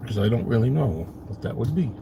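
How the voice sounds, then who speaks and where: A man's voice: medium pitch, big pitch swings, brisk, gravelly, background noise. Brooklyn-accented man chatting from his car. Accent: New York, Brooklyn. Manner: chatty, self-deprecating, rambling. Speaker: live stream host. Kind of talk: casual chat answering viewers. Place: parked car.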